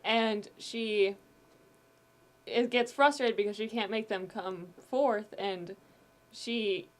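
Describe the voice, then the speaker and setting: A woman's voice: mid-range voice, slow. A woman guest on a podcast, telling a story in casual chat, earnest and full of "like" fillers.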